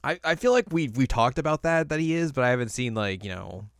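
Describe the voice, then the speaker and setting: A man's voice: mid-range voice, big pitch swings, fast talker, clear, resonant tone, dry recording, clean studio sound. A man co-hosting a podcast in casual chat, with an informal manner and wry asides. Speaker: podcast co-host. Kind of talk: casual chat ranking video game movies.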